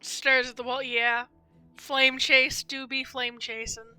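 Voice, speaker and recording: Woman game streamer, high pitch, very bright sharp tone, candid, teasing, casual commentary, music behind. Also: flat delivery, slow, clean tone. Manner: candid, teasing, talks back to characters. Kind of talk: casual live reaction commentary on a story game.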